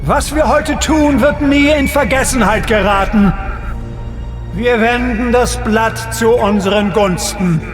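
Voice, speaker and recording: Fanatical Nazi commander. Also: male voice, very high-pitched, measured pace, music in the background. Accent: German. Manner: threatening, grand declamatory speeches.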